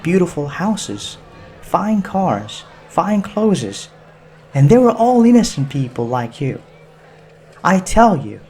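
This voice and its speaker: A bitter young woman hijacker in an audiobook thriller, acted out by a male narrator. The voice is raised high and swings widely as it delivers slow, defiant lines. There is faint noise on the recording. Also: warm tone, exaggerated voice. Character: angry twenty-year-old woman hijacker, bitter and defiant.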